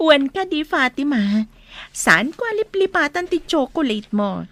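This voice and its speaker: An affectionate Ilocano aunt in a radio drama. Her voice is high and recorded close and dry. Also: very animated, slow pace, a bit bright, no room sound, full character voice. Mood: fond, farewell. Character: affectionate visiting aunt and cousin from abroad.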